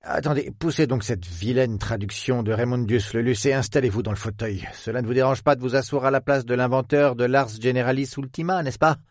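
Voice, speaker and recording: A man's voice: mid-range voice, fairly quick, few pauses, no echo, clean studio sound, close to an everyday voice. A man voicing a theatrical, effusive elderly male historian who speaks with old-fashioned courtesy. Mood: jovial.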